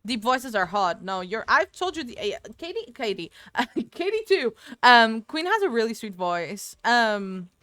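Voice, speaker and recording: Woman streamer, rambling chat with viewers, crisp voice, fairly high. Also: big pitch swings, unhurried, hardly any pauses, fairly bright tone, no room sound. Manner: chatty, rambling, answers chat aloud. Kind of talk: casual gaming stream chat. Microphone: desk microphone.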